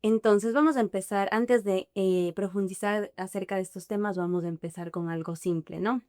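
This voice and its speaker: Smooth-voiced woman hosting a podcast. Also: mid-range voice, fairly even intonation, normal speed, a little mellow, no echo, studio quality. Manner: friendly, prompting questions. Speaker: podcast host. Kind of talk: podcast interview.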